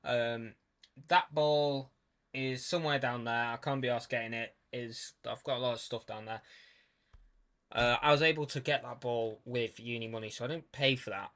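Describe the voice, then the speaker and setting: Young male student filmmaker; bright, forward tone; halting, stuttery casual commentary. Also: medium pitch, fairly even intonation. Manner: stutters, restarts, swears casually. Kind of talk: casual commentary on his own film.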